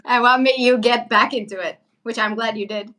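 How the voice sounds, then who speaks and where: A woman's voice: a little high, fairly even intonation, brisk, pushed and bright. A woman from Syria who works as a travel vlogger, telling stories informally in a casual livestream chat.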